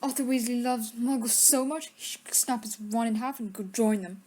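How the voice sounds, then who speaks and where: A woman plays an arrogant young wizard bully at school. The voice is a little high and drips with sneering contempt, in an audio play. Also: fairly even intonation, normal speed, dark tone, natural voice. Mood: scornful. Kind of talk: audio drama acting.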